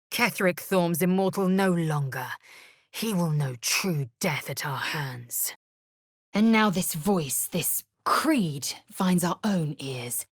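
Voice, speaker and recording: A woman's voice: sits low, a bit bright, slightly rough, no echo, studio quality, exaggerated voice. A woman playing a proud, fierce alien warrior in a fantasy video game, with a curt, imperious and disdainful delivery. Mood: solemn resolve.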